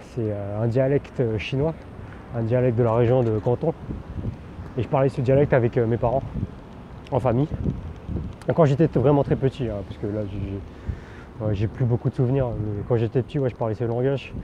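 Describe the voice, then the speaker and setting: A man's voice: mid-range voice, brisk, pauses often. A man born in France, talking candidly while riding a bike outdoors, rambling with many fillers and restarts. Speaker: vlogger. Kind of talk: casual spoken monologue. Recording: dull outdoor recording with background noise.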